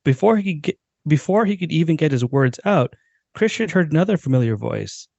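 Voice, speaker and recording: A man's voice: fairly high pitch, lively intonation, fairly quick, vocal fry, mellow, dry recording. Man reading a story, dry dull recording. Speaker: podcast co-host reading own story. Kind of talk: dramatic reading of a short story.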